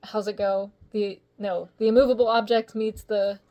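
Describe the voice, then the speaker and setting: A woman's voice: mid-range voice, little pitch movement, moderate pace, lo-fi sound. Woman, voice actor guest. Chatty, laughs easily, mid-range voice, rambles. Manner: chatty and giggly, with rambling hesitant phrasing. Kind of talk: casual interview chat.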